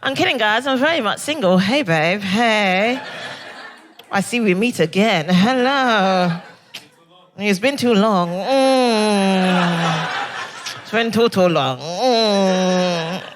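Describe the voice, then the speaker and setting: A young woman from Barbados doing a stand-up comedy set in a club, with brash, punchy jokes and quick crowd work. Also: medium pitch, lively intonation, a little hiss or hum. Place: comedy club stage before a live audience.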